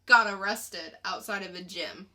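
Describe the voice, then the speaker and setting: Woman podcast cohost, bright clear-cut voice, takes her time, casual chatty storytelling. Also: medium pitch. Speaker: podcast co-host.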